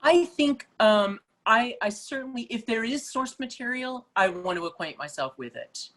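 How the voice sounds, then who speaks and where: A middle-aged woman opera singer, speaking as a guest in a relaxed interview, thoughtful and full of small restarts and fillers. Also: on the high side, moderate pace. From Malaysia. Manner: thoughtful, many restarts and fillers, laughs easily. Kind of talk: casual interview chat.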